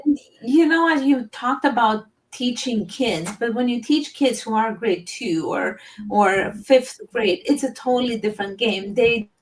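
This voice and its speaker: A woman's voice: on the high side, measured pace, few pauses. Earnest woman from Romania, distant mic. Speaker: guest, teacher and business owner. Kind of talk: live-stream interview.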